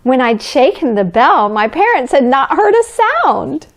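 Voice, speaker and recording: Woman playing Santa's kindly wife, storybook reading for children, gentle, expressive, very unhurried pace, lightly raised pitch. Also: heavily acted. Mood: gentle storytelling. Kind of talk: children's storybook reading, addressing young listeners.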